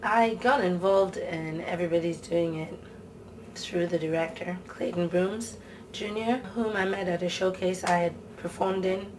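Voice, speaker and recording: A woman's voice: on the low side, a little slow, slight background noise. A woman from Nigeria, a producer, talking casually in a behind-the-scenes interview. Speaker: TV series producer.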